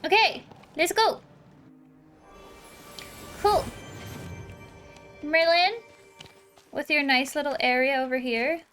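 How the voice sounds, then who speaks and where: A woman's voice: high-pitched, lively intonation, slow pace, pauses often, a bit bright, soft background music. A woman giving casual, chatty let's-play commentary on a game, playful and given to exclaiming. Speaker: gaming video host.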